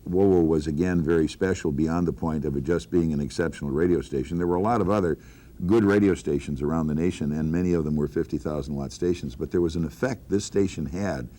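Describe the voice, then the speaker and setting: A man's voice: extremely low pitch, quick pace, mellow. An older man, a radio veteran, reminiscing casually with emphatic, folksy turns of phrase.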